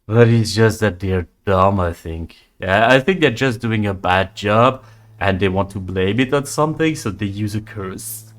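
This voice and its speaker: A man's voice: fairly low pitch, a little slow, natural voice. A man doing a crude, swearing street drunk. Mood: scoffing. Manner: swearing, scoffing, rambling.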